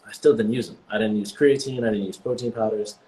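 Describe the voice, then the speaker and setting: A man in his forties, born in the US and partly raised in Jamaica, answering interview questions as a guest in a relaxed, reflective way with many fillers. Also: fairly low pitch, quick pace, slight background noise, poor audio. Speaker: guest, vegan bodybuilder.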